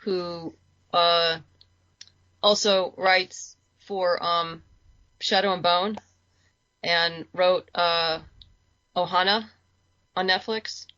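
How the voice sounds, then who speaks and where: A woman's voice: lowish voice, steady tone, drawn-out words, long pauses, bright, forceful tone, no echo, muffled recording. A woman chatting casually as a guest in an interview. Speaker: interview guest, comics creator. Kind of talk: casual chat.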